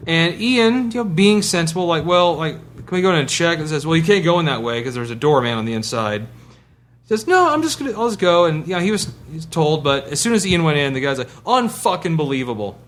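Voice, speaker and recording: A man co-hosting a podcast, telling a casual anecdote and acting out the dialogue in an animated way. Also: a little high, fairly quick. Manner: animated, acts out quoted dialogue. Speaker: podcast co-host.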